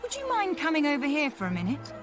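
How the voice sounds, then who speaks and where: Bossy young game heroine, higher voice, music playing under her. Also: wildly swooping pitch, quick pace. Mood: confident, brisk. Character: bold, bossy young woman adventurer avenging her murdered brother. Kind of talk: video game voice acting.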